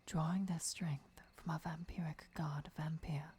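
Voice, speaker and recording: A woman playing a devoted, gentle vampire mentor and lover in a breathy, whispered ASMR roleplay, instructing in a soothing way. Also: deep voice, fairly even intonation, measured pace, full character voice. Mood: solemn, encouraging.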